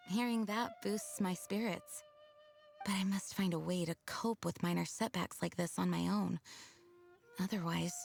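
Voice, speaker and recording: A woman's voice: fairly low pitch, vocal fry. A woman playing a noble, dutiful knight leader, speaking formally and solemnly in an anime video game.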